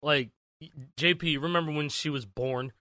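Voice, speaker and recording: A man's voice: on the high side, moderate pace, sharp tone. A man in his twenties co-hosting a casual podcast, talking freely with opinions and some swearing. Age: 20s. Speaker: podcast co-host. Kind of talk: casual chat.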